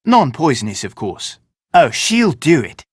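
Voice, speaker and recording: An actor playing a self-important, theatrical film director in an adventure game, speaking pompously with dramatic emphasis. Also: male voice, fairly high pitch, sing-song, unhurried, breathy edge, dry recording, studio quality, heavily acted. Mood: wry, determined. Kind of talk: scripted game character dialogue.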